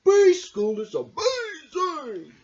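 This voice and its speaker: A childlike, honey-mad bear from a picture book, acted out by a woman. The voice is eager and yearning and swings widely, pitched up, on a slightly dull recording. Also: wildly swooping pitch, slow pace, heavily acted. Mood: delighted. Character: cheerful honey-mad bear pretending to be a bee.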